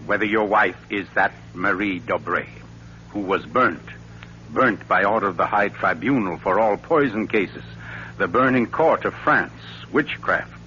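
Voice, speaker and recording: An actor playing a suave, wry writer-sleuth with a pompous, deliberate and teasing delivery in a 1940s radio thriller. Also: male voice, lowish voice, bright, forceful tone. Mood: grave, knowing. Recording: dull, noisy, humming 1940s radio recording.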